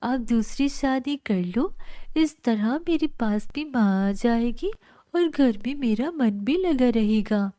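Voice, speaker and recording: A woman voicing a lonely, gentle schoolgirl with a plaintive, pleading manner in a cartoon story. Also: fairly high pitch, animated, takes it slowly, no echo, studio quality.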